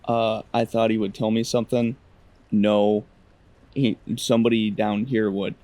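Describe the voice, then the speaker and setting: A man's voice: fairly low pitch, level tone, measured pace, mellow. A man casually narrating his video game playthrough in a joking, slangy, conversational way. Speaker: gaming video creator.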